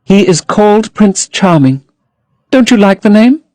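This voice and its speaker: Man voicing young actress, infatuated, gushing, high lilting voice, dry sound. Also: lively intonation, measured pace, mellow, dry recording. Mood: rapturous. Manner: rapturous, gushing.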